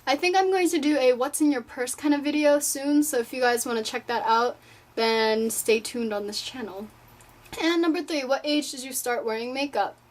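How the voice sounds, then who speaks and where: A woman's voice: high voice, normal speed. An eighteen-year-old woman from Japan chatting casually in a vlog, answering viewer questions at home in her room, rambling and filling her talk with "like". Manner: chatty, rambling, fills with "like" often.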